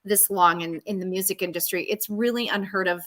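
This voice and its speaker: A woman's voice: mid-range voice, steady tone, a little fast, fairly bright tone. A woman in her fifties hosting a live interview show, gracious and effusive with her guest. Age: 50s. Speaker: show host.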